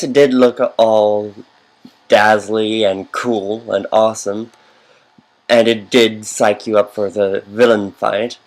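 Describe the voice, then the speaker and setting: A man's voice: lowish voice, a little mellow, poor audio. A man giving casual, rambling commentary over a game, wandering into fannish asides.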